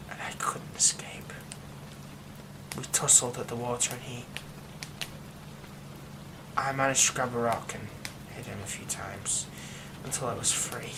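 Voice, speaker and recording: A haunted young man hiding a werewolf curse, played by a man. He talks slowly in a hushed, halting way, often slipping into whispers. A steady hiss sits under the recording. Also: mid-range voice, close to an everyday voice. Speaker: ASMR roleplay performer. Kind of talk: ASMR horror roleplay.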